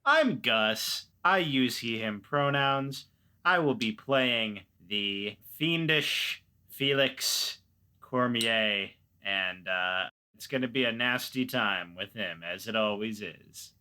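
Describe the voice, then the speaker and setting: A man jokes casually as a player on a game podcast. He speaks slowly at a middle pitch with a bright edge and a dry, laid-back humor. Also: expressive, clean tone. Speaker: podcast cast member, player. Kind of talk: casual joking chat.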